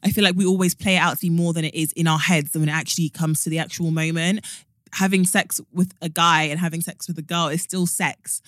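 A woman's voice: lowish voice, fairly even intonation, fast talker, a bit bright, dry recording, clean studio sound. A young woman, co-hosting a podcast and giving frank, joking advice. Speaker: podcast co-host. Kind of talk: casual podcast chat and advice.

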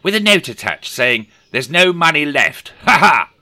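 Brief: male narrator playing a shrill, manic miser, bright tone, storybook. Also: big pitch swings, normal speed, heavily acted. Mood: gleeful. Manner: shouting, exclaiming, manic glee. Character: gleeful scheming male miser, loud and theatrical.

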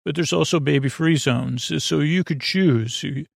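A man hosting a sleep podcast, talking in a slow, rambling bedtime monologue full of fillers, pauses and tangents, with a creaky voice. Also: fairly high pitch, no echo, clean studio sound.

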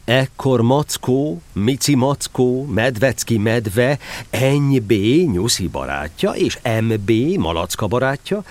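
A man narrating a scripted children's story in an expressive storytelling manner. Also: mid-range voice, no room sound.

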